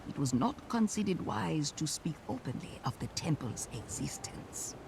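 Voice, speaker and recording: Old wise elder, creaky and slow, kinda high for a man, solemn game voice. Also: very animated. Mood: grave, wise. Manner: slow, grave, ceremonial. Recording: noisy ambient background.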